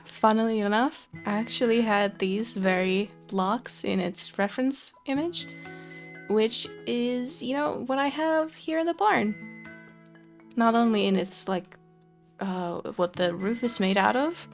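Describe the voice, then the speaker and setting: Young woman for relaxed game commentary, slow and chatty, light guitar underneath. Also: a little high, creaky. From Finland. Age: young adult. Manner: relaxed, chatty, frequent fillers and soft laughs. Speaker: Minecraft let's play creator. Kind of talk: casual gameplay commentary.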